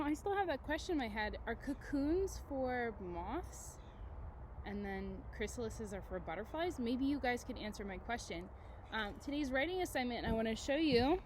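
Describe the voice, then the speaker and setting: A woman with a mellow, soft-edged voice works as an elementary teacher doing a read-aloud. She reads expressively and breaks off for casual comments and questions to the children. The recording has some background ambience, like being out in moving air. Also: fairly high pitch, a little hiss or hum. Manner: casual, conversational, engaging listeners with questions.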